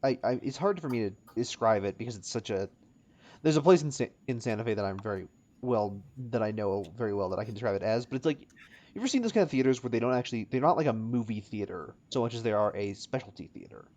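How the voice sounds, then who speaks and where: A man's voice: on the low side, lively intonation, fast, a little mellow. A man improvising narration as a tabletop game master, often searching for words and repeating phrases as he describes things.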